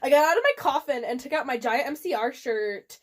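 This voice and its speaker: A woman's voice: sits high, lively intonation, fairly quick. A woman reading fan fiction aloud in a theatrical, giggly, comedic way. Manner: giggly, theatrical, often breaks into laughter.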